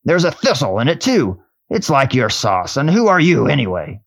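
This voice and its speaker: A man voicing a surly, cynical, stubborn dwarf in a storybook reading, with gruff, scornful grumbling. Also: mid-range voice, very animated, moderate pace, fairly bright tone, pitched above the performer's own voice. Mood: indignant.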